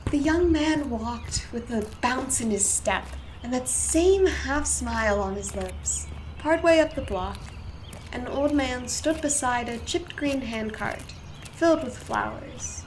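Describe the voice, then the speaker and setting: A woman's voice: high-pitched, lively intonation, takes it slowly, slight background noise, rough recording. A woman reading scripted storytelling narration for a radio play.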